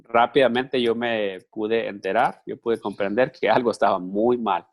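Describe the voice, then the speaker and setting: A man's voice: lowish voice, steady tone, moderate pace. A man working as a live interpreter for a conference speaker, talking conversationally with repeated words and restarts.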